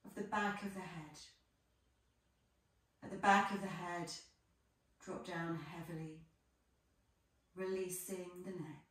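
Female yoga instructor for guided relaxation: low-ish, very unhurried delivery, gentle phrasing. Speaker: yoga teacher. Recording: echoey room, distant microphone.

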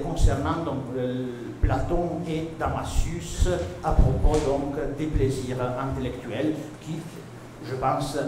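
A man in his thirties whose first language is Italian, chairing a conference session and introducing a speaker with many hesitations, on a table mic in a seminar room. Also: mid-range voice. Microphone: table gooseneck mic. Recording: echoey room with noise.

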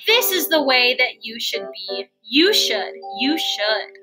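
A woman's voice: fairly high pitch, sing-song, takes it slowly, over music. A woman voicing bossy, insistent jungle animals from a storybook in a coaxing, sing-song way.

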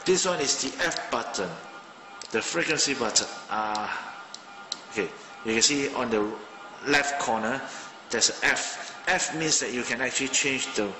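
A man in his thirties from Malaysia, chatting casually through a gadget review at his desk and thinking aloud with fillers, filmed on a handheld phone. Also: medium pitch. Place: indoors at a home desk. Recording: roomy with long reverb, music behind.

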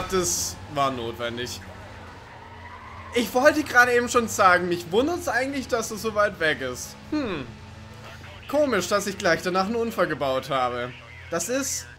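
A man with a fairly high voice commentates a video game casually. He is sarcastic and drops in chuckling asides. Music plays lightly behind him. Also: sing-song, measured pace, bright, forceful tone. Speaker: let's play commentator. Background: game music and car sounds.

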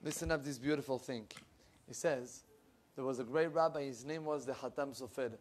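A young man, a rabbi giving an animated Torah class, his voice swinging between loud bursts and low asides. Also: normal speed, slight background noise. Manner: animated, with big swings in pitch and loudness and lively hand gestures. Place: wood-panelled room with bookshelves. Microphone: lapel.

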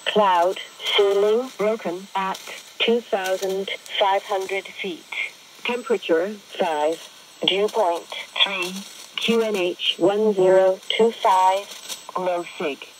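A woman's voice: mid-range voice, fairly even intonation, slow. A woman reading formulaic aviation weather reports in clipped, segmented, impersonal phrases over shortwave radio. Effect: shortwave single-sideband radio. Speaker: aviation weather broadcast announcer. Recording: noisy shortwave radio reception. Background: radio static and noise.

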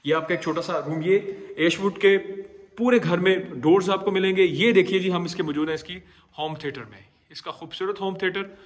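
Male property tour host, Pakistani Urdu accent, high voice, upbeat sales patter, echoey empty rooms. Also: steady tone, normal speed. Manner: upbeat salesman patter mixing English phrases. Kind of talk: walkthrough house tour narration. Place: empty house, large tiled rooms indoors.

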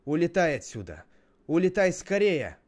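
A man voicing a domineering, hot-tempered grown brother in an animated parable, bossy and mocking. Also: mid-range voice, normal speed, voice pushed up, lightly acted. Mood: furious shouting.

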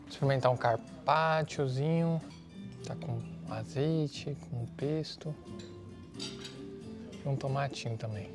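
A man's voice: medium pitch, slow pace, pauses often, loud music behind the voice. A young man, casually tasting and commenting on dishes in a restaurant, on a lapel mic. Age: young adult. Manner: relaxed, tasting aloud. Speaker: vlogger's husband. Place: restaurant terrace, indoors.

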